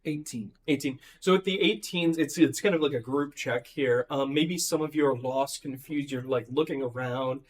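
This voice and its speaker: A hoarse man losing his voice, running a tabletop game and narrating with many ums and restarts. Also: mid-range voice. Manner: halting, full of ums and likes. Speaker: game master.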